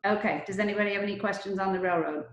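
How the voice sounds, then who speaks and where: A woman's voice: medium pitch, very fast. An American woman giving an informal report as a local commissioner at a public meeting held over video call. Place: indoor room, Zoom video meeting. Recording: roomy, echoey video call audio.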